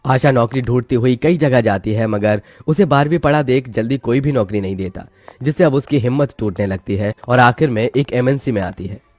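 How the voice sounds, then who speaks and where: A man's voice: mid-range voice, fairly quick, darkish tone, no room sound. A man reading a scripted moral story as its narrator, with music behind him.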